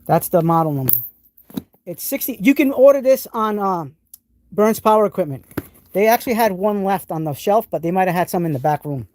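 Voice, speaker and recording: A man's voice: sits high, lively intonation, fairly quick, darkish tone. A man with a New England accent hosting a live tool stream, showing off tools and answering chat in an enthusiastic, rambling way with many restarts. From New England, United States.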